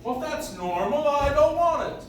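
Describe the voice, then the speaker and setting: A man's voice: very high-pitched, lively intonation, moderate pace. A man playing a kindly but easily indignant old man in a live staged radio play. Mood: dejected. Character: kindly, indignant old man believing himself Santa Claus. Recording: roomy, distant audience recording with noise.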